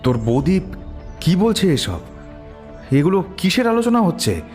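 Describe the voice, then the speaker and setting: An actor playing a fed-up, suspicious husband in an audio drama, interrogating and accusing. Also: male voice, high-pitched, big pitch swings, normal speed, over music. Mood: alarmed, accusing. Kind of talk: audio story drama acting.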